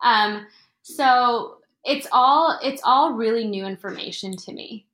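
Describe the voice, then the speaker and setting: Bright-voiced young woman, chatty guest. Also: on the high side, takes it slowly, echoey room. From Florida, United States. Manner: chatty, hesitant, many fillers. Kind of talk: casual interview.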